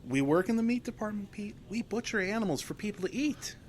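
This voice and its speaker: An insistent, secretive young butcher from a horror radio play. His voice sits a little high and moves up and down freely as he coaxes in a folksy way. Faint noisy ambience surrounds him. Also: lively intonation, fast talker. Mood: persuading. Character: blood-drinking young meat cutter, insistent, secretive and romantic. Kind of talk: horror audio drama dialogue.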